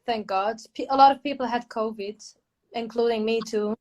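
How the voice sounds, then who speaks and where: A woman born in Germany, a singer, talking relaxed in an interview with restarts and small grammar slips in English. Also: fairly high pitch, normal speed. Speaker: guest singer. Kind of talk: casual interview conversation. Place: on a video call from abroad.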